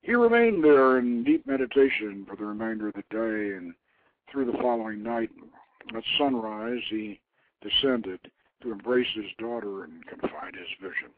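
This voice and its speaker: A man's voice: fairly low pitch, animated, moderate pace, slight background noise. Man narrating over a thin phone line. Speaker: host reading his own novelette. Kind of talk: scripted story narration.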